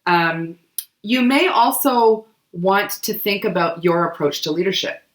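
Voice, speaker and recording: Hoarse woman with a cold, echoey room. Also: mid-range voice, lively intonation, a little slow. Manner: chatty, loose, many fillers. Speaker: book club podcast co-host. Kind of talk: casual explanatory chat.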